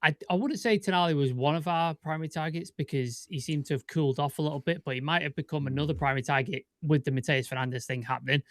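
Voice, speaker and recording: A man's voice: a little high, steady tone, no room sound. A man hosting a casual football podcast, chatty and joking with his co-hosts. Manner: chatty, joking, quick interjections. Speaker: podcast host.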